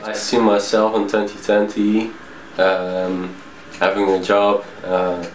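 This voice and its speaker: A man, answering interview questions with many pauses. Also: on the low side, little pitch movement. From Tilburg, Netherlands. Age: 20s. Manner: hesitant, full of pauses. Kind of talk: casual street interview answers. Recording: echoey, noisy street spot.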